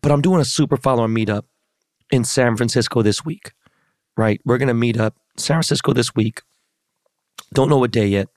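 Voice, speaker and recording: A hoarse man hosting a podcast in casual chat, talking in slangy, profane, hyped-up street style. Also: mid-range voice, moderate pace, pauses often, no echo, clean studio sound. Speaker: podcast host.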